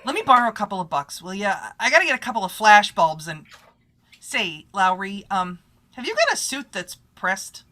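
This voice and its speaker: A woman's voice: medium pitch, big pitch swings, normal speed, pushed and bright. A woman playing a brash, bossy press photographer in old-time radio style, with snappy, slangy wisecracks. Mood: breezy.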